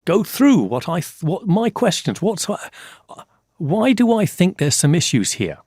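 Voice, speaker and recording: An older man from Scotland, a forensic scientist, answering interview questions in a measured, explanatory way and repeating key points for emphasis. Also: sits high, big pitch swings, a little mellow, no room sound, clean studio sound.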